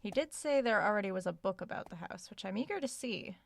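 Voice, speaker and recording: A woman's voice: mid-range voice, animated, fast, gravelly. A woman playing an inquisitive, polite but stubborn young writer in an audio drama, natural and articulate with a wry touch. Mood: wry, curious.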